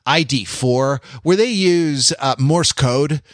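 A man in a casual film discussion, a podcast panelist who haltingly searches for his words with a wry touch. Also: medium pitch, no echo, clean studio sound.

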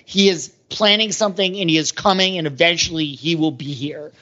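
A man's voice: a little high, steady tone, fairly quick, bright, forceful tone. A man co-hosting a podcast in casual pop culture discussion, thinking aloud in an analytical way. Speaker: podcast co-host. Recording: slightly dull, lo-fi audio.

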